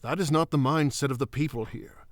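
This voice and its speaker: A man playing a thoughtful druid royal and father in a fantasy audio drama, speaking formally and in measured phrases. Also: medium pitch, no echo, natural voice. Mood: grave, explanatory.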